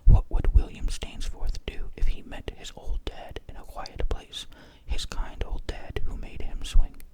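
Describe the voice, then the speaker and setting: Menacing male ex-cop, bitter, sneering, whispered by a reader, hushed storybook voice. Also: unhurried, lightly acted.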